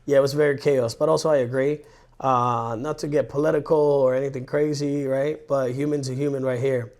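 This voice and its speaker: A man in his thirties from Colombia, telling stories in a rambling, animated way on a casual podcast. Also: mid-range voice, a little fast. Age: 30s. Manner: rambling, animated storyteller with restarts. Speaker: podcast co-host. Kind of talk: casual chat.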